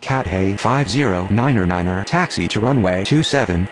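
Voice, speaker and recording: A man's voice: on the low side, expressive, moderate pace, natural voice. A man playing a pilot and air traffic controller, giving formal, clipped radio callouts in standard aviation phraseology. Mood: businesslike.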